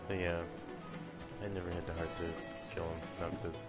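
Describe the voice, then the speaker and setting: A man's voice: deep voice, level tone, normal speed, loud music behind the voice. A man hosting a gaming channel, giving casual commentary over gameplay.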